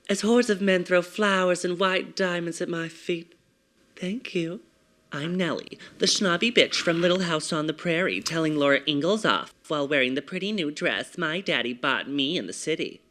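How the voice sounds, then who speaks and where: A man with a soft, feminine-sounding voice performing a theatrical confessional monologue, mostly quiet and measured. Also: fairly high pitch.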